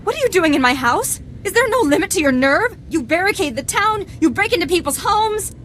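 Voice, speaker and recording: A woman playing a spy's fiercely protective, sharp-tongued wife in a video game. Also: very high-pitched, expressive, brisk, slight background noise. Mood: indignant.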